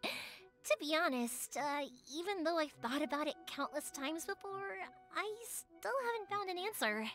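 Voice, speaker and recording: A woman's voice: extremely high pitch, animated, a little slow, a bit bright, exaggerated voice. A woman voicing a cheerful, sincere young woman who makes fireworks in an anime video game, earnest and heartfelt. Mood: thoughtful. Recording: music behind the voice. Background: game music.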